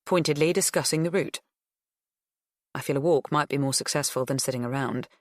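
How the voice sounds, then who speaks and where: A woman's voice: sits low, dry recording, studio quality. A woman narrating first-person fiction as a scripted audiobook reading.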